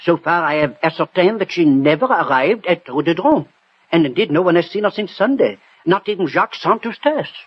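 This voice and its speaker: Nervous French shopkeeper, evasive, deferential, highish husky voice, swooping delivery, vintage radio. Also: male voice, expressive, fairly quick. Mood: anxious, careful. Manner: hesitant, formal. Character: anxious, deferential French perfumer, emotional and evasive. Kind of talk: 1960 radio drama acting. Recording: dull old radio broadcast.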